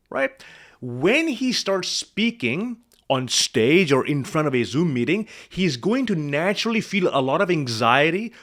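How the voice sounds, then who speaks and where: A man's voice: a little high, a bit bright. A man working as a speaking coach, commenting on a client's video and quizzing the viewer with rhetorical questions.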